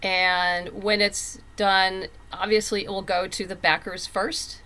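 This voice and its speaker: A woman's voice: medium pitch, little pitch movement, slow, pushed and bright. A woman being interviewed as a writer, telling stories casually and emphatically, acting out quoted thoughts, with noise behind her. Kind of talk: casual conversational storytelling.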